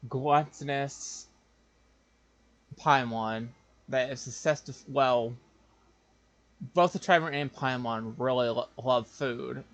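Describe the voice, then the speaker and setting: Slow-paced, gravelly man streaming a game. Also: mid-range voice, a bit bright. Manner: musing aloud, hesitant. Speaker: game livestreamer. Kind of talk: casual gameplay commentary. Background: game music.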